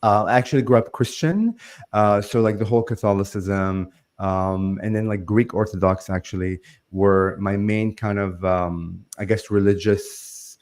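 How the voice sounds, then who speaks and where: A man's voice: lowish voice, fairly even intonation, a little slow, a little mellow. A man, a guest on a remote call, talking earnestly and loosely with many "like"s and "um"s. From Lebanon. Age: 40s. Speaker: guest, spiritual channel. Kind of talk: conversational interview.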